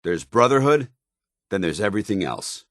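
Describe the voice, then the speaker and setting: A man's voice: fairly low pitch, sing-song, moderate pace, a bit bright, no room sound, clean studio sound. A man playing a stern, earnest soldier loyal to his order, speaking formally and firmly as video game companion dialogue. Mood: proud. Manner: formal, clipped, commanding, earnest.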